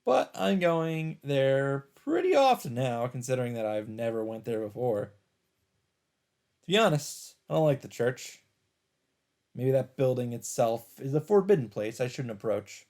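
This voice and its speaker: A man reads visual novel narration aloud as a let's play narrator. His voice sits at a middle pitch, with a measured storytelling delivery. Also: animated, darkish tone.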